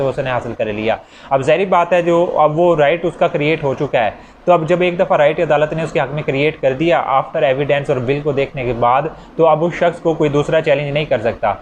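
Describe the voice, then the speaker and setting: A man's voice: a little high, darkish tone. Pakistani man lecturing, dull lo-fi sound. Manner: didactic, restating key points in simpler words. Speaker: law lecturer. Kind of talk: explanatory lecture with worked examples. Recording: dull lo-fi audio, faint background noise.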